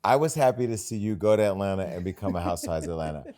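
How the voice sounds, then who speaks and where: A man hosting a casual talk show, teasing his guest with mock indignation and steady agreeing murmurs. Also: low-pitched, very fast. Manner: playful teasing, mock-indignant, lots of backchannel. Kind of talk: casual interview chat.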